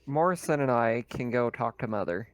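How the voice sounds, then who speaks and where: A man of average pitch takes part in a tabletop roleplaying game as a player. He talks in a casual, conversational way as he works through the game with the group. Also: animated, moderate pace, slight background noise, rough recording.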